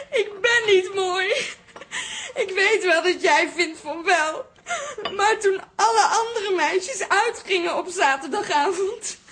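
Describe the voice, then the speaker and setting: An actress playing an insecure, feisty young daughter in a radio drama, blunt and plaintive. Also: female voice, very high voice, fairly bright tone, heavily acted.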